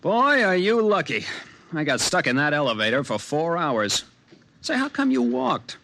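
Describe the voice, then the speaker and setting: A man's voice: fairly high pitch, big pitch swings, normal speed, gravelly, bright, forceful tone, full character voice. A man playing a jokey, grumbling young college pal with a congested voice in a 1950s radio drama. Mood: grumbling, joking. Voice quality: stuffed-up, congested. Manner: casual, wisecracking.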